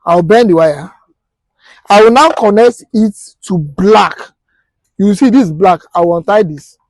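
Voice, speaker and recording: A man's voice: sits high, measured pace, no echo, low-quality recording. A Nigerian man teaching a hands-on practical class as an instructor, explaining step by step and quizzing listeners with rhetorical questions. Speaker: technical instructor.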